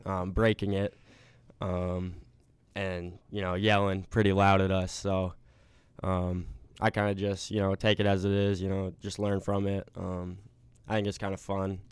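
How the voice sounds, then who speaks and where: A man's voice: low voice, flat delivery, unhurried, clean tone, no echo. A young man who plays college basketball, answering interview questions in a laid-back way with lots of filler words. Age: young adult. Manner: laid-back, many ums.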